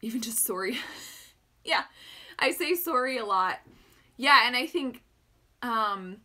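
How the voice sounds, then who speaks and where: A woman's voice: a little high, expressive, slow pace, bright, forceful tone. A young woman from northern Alberta with an Albertan Canadian accent, chatting casually and rambling as she answers viewer questions on a livestream. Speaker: maker hosting a livestream.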